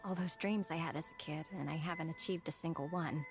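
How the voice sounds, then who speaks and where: A woman's voice: low voice, fairly quick. A woman playing a wistful, self-doubting grown woman who talks in a reflective way, in a game cutscene. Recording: game audio over clear background music.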